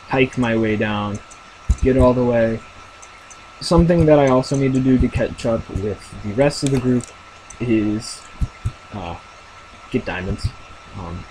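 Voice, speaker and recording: A young man casually commenting on his own game as he plays, thinking aloud with lots of fillers and a deep voice. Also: background noise. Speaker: gamer narrating his play.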